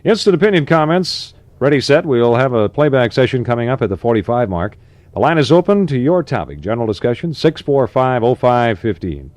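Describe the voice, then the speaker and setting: A man's voice: medium pitch, big pitch swings, fairly quick, darkish tone, no room sound. An American man working as a 1960s AM radio DJ, reading announcements in a conversational announcer style with short asides. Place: radio studio.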